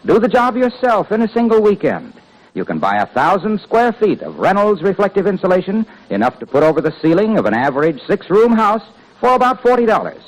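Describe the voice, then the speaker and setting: A male announcer delivering a polished sales pitch in 1950s radio style. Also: very animated, fairly quick, low-quality recording. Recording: old radio broadcast.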